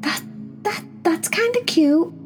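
A woman voicing a soft-spoken, dreamy young female princess cat in a storybook. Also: very high-pitched, lively intonation, slow pace, faint music behind, voice pushed up, full character voice.

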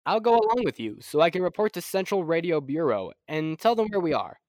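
A man acting an excitable, good-humoured scientist and doctor in an old-time radio drama. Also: fairly high pitch, a little fast, no room sound. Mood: businesslike.

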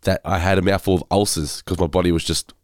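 Low-voiced man, congested and hoarse. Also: steady tone, fast talker, dry recording, clean studio sound. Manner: weary, self-deprecating complaining. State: congested and hoarse from a head cold. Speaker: podcast co-host. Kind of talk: casual podcast chat.

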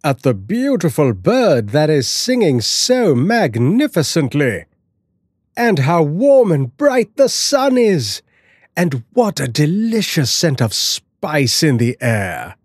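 A man voicing a cheerful, oblivious father who admires the bird brightly. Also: a little high, huge pitch swings, takes it slowly, no echo, clean studio sound, voice pushed up, heavily acted. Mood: delighted.

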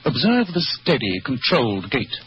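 A man playing a brilliant, sardonic English gentleman detective in a radio drama, speaking precisely with dry superiority and an English accent. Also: medium pitch, big pitch swings, normal speed, natural voice. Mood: keen, probing. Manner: precise, theatrical, dryly superior. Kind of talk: old-time radio drama acting. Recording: dull, noisy old radio recording.

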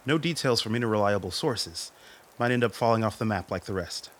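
An actor playing a dutiful military major reporting to a superior in a radio play, formal, clipped and serious. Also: male voice, fairly low pitch, lively intonation, a little fast, natural voice. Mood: guarded.

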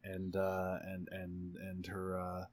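Male tabletop player, lowish pitch, slow halting delivery, improvised storytelling. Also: monotone, a little mellow. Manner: halting, searching for words as he improvises. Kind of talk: improvised narration of a tabletop game.

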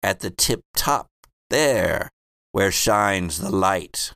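Man playing a slow, portentous rhyming seer. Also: low-pitched, animated, vocal fry, fairly bright tone, no room sound, clean studio sound, heavily acted. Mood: cryptic, portentous. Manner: slow, portentous, sing-song rhyming delivery. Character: cryptic male seer speaking in slow rhymes.

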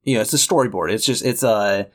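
A man's voice: on the low side, quick pace. Nasal guy rambling on his podcast, laughs a lot, keeps going off track. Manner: rambling, laughs often, self-mocking. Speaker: podcast co-host. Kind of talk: casual chat.

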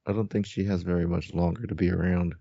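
A man's voice: sits low, fairly even intonation, fast, gravelly, warm tone, husky, no room sound, lo-fi sound. A man co-hosting a podcast, recounting story details in a loose, informal way. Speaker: podcast co-host. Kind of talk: casual book recap discussion.

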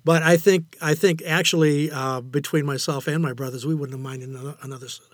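An older man speaking as an interview guest in a reflective, conversational way, haltingly and often repeating phrases for emphasis. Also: fairly high pitch, fairly even intonation, no room sound, studio quality. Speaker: interview guest, amateur writer.

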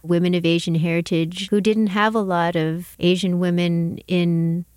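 A woman's voice: lowish voice, level tone, normal speed, a little mellow, no room sound, studio quality. A woman curator speaking as an interviewer, articulate and earnest in her delivery. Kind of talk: scripted introduction and conversational interview.

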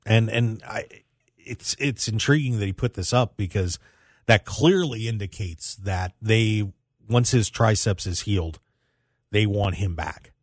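A man hosting a casual sports talk radio show in an animated, emphatic way with dramatic pauses. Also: on the low side, dry recording, studio quality. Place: radio studio.

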